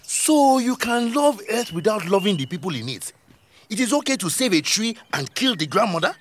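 A man's voice: sits high, big pitch swings, moderate pace, slight background noise. A man with a Nigerian accent acting a stubborn, mocking village community leader in an audio drama, arguing with rhetorical questions. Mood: scornful, challenging.

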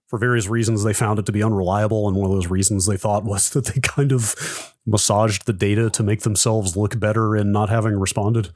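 A man's voice: lowish voice, steady tone, a little fast, hardly any pauses, a little mellow, no room sound, studio quality. A man co-hosting a podcast, telling a story in a rambling way with lots of fillers. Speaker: podcast co-host. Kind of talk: casual chat.

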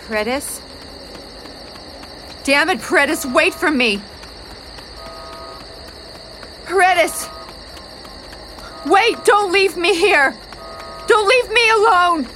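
An actress playing a frightened, lonely astronaut stranded on another world in an audio drama, speaking in a halting, intimate way. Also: female voice, very high-pitched, expressive, pushed and bright, a little hiss or hum, heavily acted. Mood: panicked, desperate. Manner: halting, intimate, drifting into whispers. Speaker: mission specialist in an audio drama. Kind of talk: modern audio drama acting, recorded log monologue.

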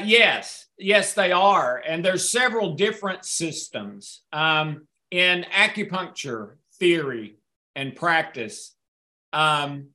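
A man's voice: on the high side, bright, forceful tone. A man answering audience questions in a casual session, rambling a little and poking fun at himself. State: a little hard of hearing. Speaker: guest speaker answering questions.

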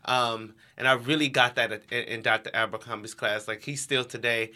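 Interview guest: American male, young adult, crisp bright timbre, informal conversational delivery. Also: mid-range voice, fairly even intonation, moderate pace. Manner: informal, heavy use of "like". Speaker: interview guest, education nonprofit co-founder.

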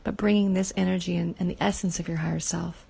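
A woman leading a guided inner-child meditation, speaking softly and slowly, often in a half whisper. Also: lowish voice, steady tone, gravelly, breathy edge.

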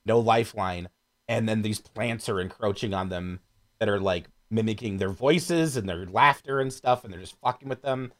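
A man chatting casually on a podcast, blunt and profane, speaking with lively emphasis. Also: lowish voice, normal speed. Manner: animated, emphatic.

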